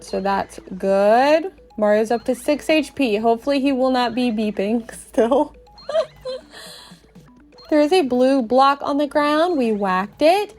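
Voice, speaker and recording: High, animated woman narrating a game. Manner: playful, expressive, cutesy exclamations. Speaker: podcast host and video game player. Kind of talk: playful narrated let's play, storytelling. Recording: music behind the voice.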